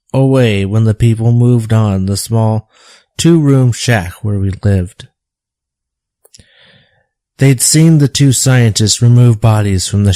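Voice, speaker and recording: A tormented man, played by an actor, confesses his past in an audio drama. His voice is fairly low and dark, and his delivery is halting and reflective. The sound is very dry. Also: no echo. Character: tormented male shepherd recounting a painful past.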